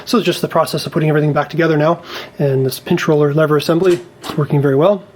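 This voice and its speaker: A man with a slightly high voice talks through a hands-on repair as a technician would in a tutorial. He explains each step methodically and carefully, in a casual, unscripted way. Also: fairly even intonation, very fast, darkish tone, husky.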